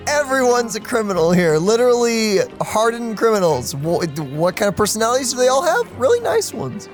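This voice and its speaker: A man's voice: very high-pitched, big pitch swings, brisk, faint music behind. A man doing casual let's play commentary, musing and reacting informally.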